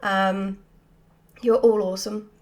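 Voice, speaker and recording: A woman chatting casually and answering questions in an animated, giggly, self-deprecating way, as a writer hosting a Q&A. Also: medium pitch, takes it slowly.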